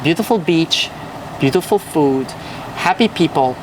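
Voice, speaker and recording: A man's voice: on the high side, animated, measured pace, far from the mic, noisy background. A young man from Belgium, a podcast guest, telling his story with enthusiasm in casual chat. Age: young adult. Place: at a hotel.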